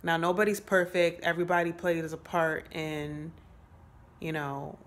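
Slow, low-voiced young woman vlogging. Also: level tone. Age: 20s. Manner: rambling, reflective, many fillers and pauses. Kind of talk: casual update vlog to camera. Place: small room indoors. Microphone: phone held close at arm's length.

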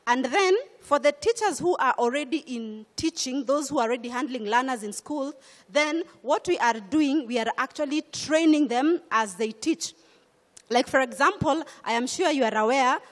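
A middle-aged woman with a Kenyan accent, speaking on a conference panel about curriculum changes in a measured, explanatory way, into a handheld mic. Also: high voice. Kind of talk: panel discussion. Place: conference stage. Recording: hall reverb.

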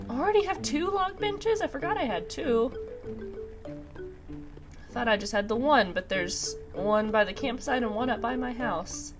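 A woman hosting a casual let's play, chatting to herself in a slightly nasal, congested voice with a sinus infection. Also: on the high side. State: congested with a sinus infection, recovering from a cough and sore throat. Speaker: gaming video host. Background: video game music.

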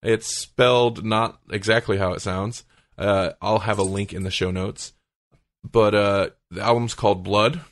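A man's voice: on the low side, fairly even intonation, measured pace, no room sound. A man hosting a podcast in casual chat, with a joking, rambling manner. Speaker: podcast host.

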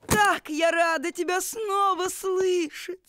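Sulky cartoon cello wife, very high, slow, plaintive, melodramatic, delicate. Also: female voice, fairly even intonation, over music. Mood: softened. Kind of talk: animated cartoon voice acting.

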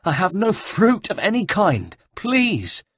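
A man voicing a terrified young monk who pleads in a high, shaky, sobbing voice. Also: wildly swooping pitch, slow, fairly bright tone, breathy edge, exaggerated voice. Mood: pleading.

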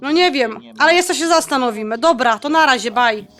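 An actress playing a feisty, sarcastic bride-to-be in an audio drama, mixing with English slang. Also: female voice, high voice, lively intonation, fairly quick, a bit bright. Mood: brisk, friendly. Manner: sarcastic, sassy. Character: feisty Polish-American bride-to-be, quick-tempered and sarcastic.

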